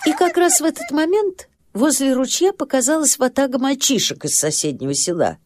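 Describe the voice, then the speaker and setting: A woman's voice: high-pitched, big pitch swings, a little fast, darkish tone. A woman narrating a children's story expressively, as a storyteller speaking to the listeners. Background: music.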